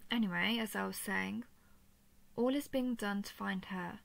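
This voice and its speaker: A woman playing a royal female dragon in an intimate audio roleplay, teasing and protective, with soft, slightly formal speech and a creaky voice. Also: medium pitch, unhurried. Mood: tender, wistful. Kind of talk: intimate scripted audio roleplay addressed to listener.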